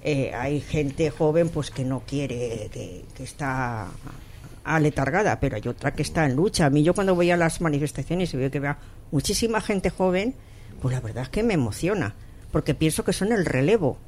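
A woman from Barcelona hosting a radio talk discussion, animated and telling stories with acted-out quotes. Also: low-pitched, moderate pace, slight background noise. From Barcelona, Spain. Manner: animated storyteller, acts out quotes.

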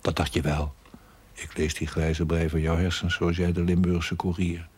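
An actor playing a sardonic, teasing inner voice in a television drama, mocking and knowing. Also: male voice, low voice, monotone, moderate pace, darkish tone, slight background noise, natural voice. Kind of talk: Dutch television drama acting.

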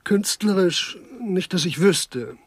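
Fussy, high-pitched lamenting gentleman official. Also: lively intonation, slow pace, husky, no room sound, exaggerated voice. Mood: plaintive. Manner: plaintive, repetitive, genteel. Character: fussy, lamenting gentleman administrator, easily appalled. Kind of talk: German radio crime comedy acting.

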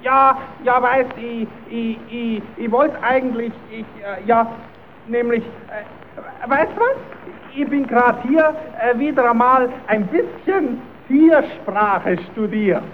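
Very high-voiced puppet clown, cheeky, scheming, exclamatory, thin tinny hissy old radio recording. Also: male voice, takes it slowly. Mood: flustered. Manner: exclamatory, self-addressing, stammers when flustered. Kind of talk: old German children's radio play.

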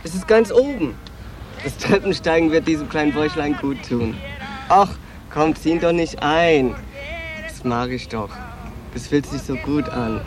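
An actor playing a sassy, world-weary transgender prostitute, flirtatious, candid and wistful, in a radio drama. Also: male voice, on the high side, lively intonation, normal speed, music in the background, lightly acted. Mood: flirty, chatty. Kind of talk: 1980s German radio drama acting.

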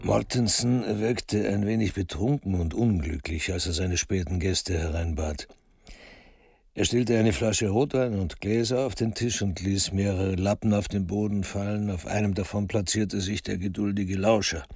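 A man's voice: on the low side, moderate pace, vocal fry, a little mellow, slightly rough, no room sound, clean studio sound. A man narrating a crime radio drama in a scripted, literary style.

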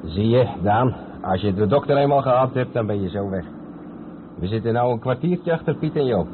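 An actor playing an experienced, patient truck driver who explains things step by step in a radio play. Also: male voice, mid-range voice, expressive, brisk, close to an everyday voice. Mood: patient, instructive. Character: experienced, patient long-haul truck driver showing the ropes. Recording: tinny, band-limited old radio recording with noise.

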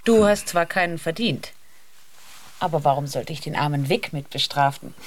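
An actress playing a bossy, teasing wife in a radio drama. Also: female voice, deep voice, normal speed, background noise, lightly acted. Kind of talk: German radio drama acting.

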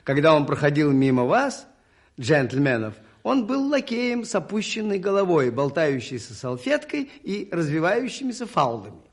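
An actor in a radio play voicing a shrewd, unassuming priest detective who explains things politely and in a measured way. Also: male voice, a little high, sing-song, husky, full character voice. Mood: quietly amused. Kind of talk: 1980s radio play acting.

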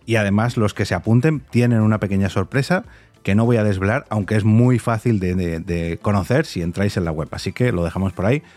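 A man's voice: fairly low pitch, brisk, a little mellow. A middle-aged man with a Spanish accent presents a podcast and interviews a guest in a conversational style. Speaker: podcast host.

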